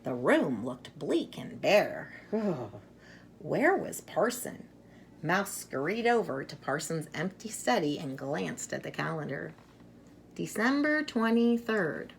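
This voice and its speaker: A woman storyteller who reads a children's picture book aloud expressively, with dramatic pauses. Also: deep voice, big pitch swings, vocal fry, a little hiss or hum. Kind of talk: expressive read-aloud storytelling with casual introduction.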